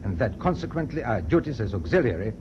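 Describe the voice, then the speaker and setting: Dull noisy old radio recording, male actor as proud German navy captain, commanding, clipped, widely swinging pitch, resolute. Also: big pitch swings, fast talker, close to an everyday voice. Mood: grave, resolute. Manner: clipped, formal, authoritative. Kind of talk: BBC radio drama acting.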